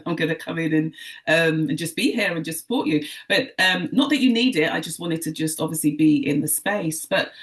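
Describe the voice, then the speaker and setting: A woman with a low-pitched voice speaks on a video call. She is effusive and rambling, and she keeps circling back to what she means. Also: a bit bright. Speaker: webinar participant and colleague.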